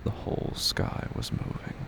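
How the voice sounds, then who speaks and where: A man with a gravelly, breathy voice narrating a horror audio drama in an intense, dramatic, deliberate way. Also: background noise. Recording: loud ambient sound effects under voice.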